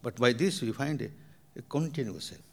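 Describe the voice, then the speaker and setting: A man's voice: mid-range voice, lively intonation, moderate pace, vocal fry. An older man from Burma, a meditation teacher answering audience questions in emphatic, repetitive phrasing.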